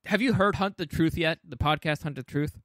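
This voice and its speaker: A man's voice: a little high, fairly quick, fairly bright tone, no echo, studio quality. A man co-hosting a podcast, chatting casually and enthusiastically with some swearing. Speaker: podcast co-host. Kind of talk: casual conversational chat.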